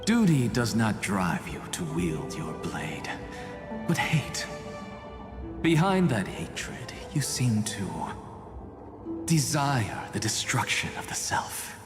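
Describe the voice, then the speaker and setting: Solemn remembered voice, video game, swooping breathy delivery, accusing, deep reverb, music. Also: male voice, big pitch swings, slow, pauses often. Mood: grave, accusing. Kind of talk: dramatic video game voice acting. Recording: echoing hall reverb with music.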